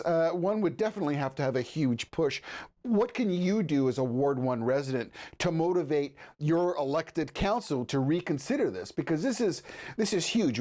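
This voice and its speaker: A man with a somewhat higher-pitched voice acts as interviewer in a local affairs conversation. He speaks in a measured, probing way and takes his time working up to each question. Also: darkish tone.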